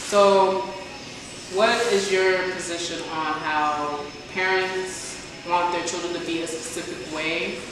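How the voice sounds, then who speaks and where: Casting: woman host, chatty interviewer, heard from across a big reverberant room with steady noise. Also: lowish voice, slow pace. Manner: conversational, leading questions, chiming in often. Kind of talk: casual interview questions. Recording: echoey room, far mic, noisy.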